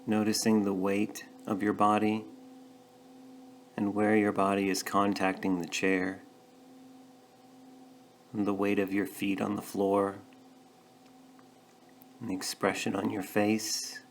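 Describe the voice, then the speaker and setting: A man leading a guided meditation, speaking gently and in a measured way with pauses between short phrases. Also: lowish voice, monotone, a little hiss or hum. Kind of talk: guided meditation, calm instruction.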